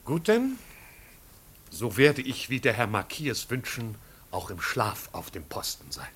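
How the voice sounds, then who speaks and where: An actor playing a pompous, flustered but loyal manservant in a 1950s German radio drama, with ceremonious, convoluted phrasing. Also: male voice, medium pitch, wildly swooping pitch, a little slow, a bit bright, slight background noise. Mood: dutiful.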